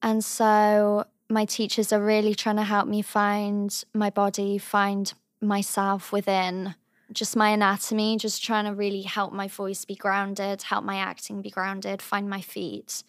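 A young woman from England with a high voice, giving thoughtful, earnest interview answers as a drama student. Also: monotone, unhurried, no room sound, studio quality. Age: young adult. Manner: thoughtful, earnest, softly trailing phrases.

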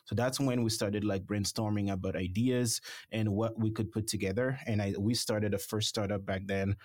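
A man from Haiti, a startup founder, answering interview questions in a measured way with many fillers. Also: on the low side, steady tone, a little mellow, no room sound, studio quality. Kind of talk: conversational interview.

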